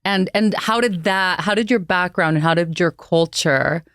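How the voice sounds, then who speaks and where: Halting woman co-host, dry sound. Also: fairly low pitch, fairly bright tone, dry recording. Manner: halting, restarts mid-question. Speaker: podcast co-host. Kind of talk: conversational interview questions.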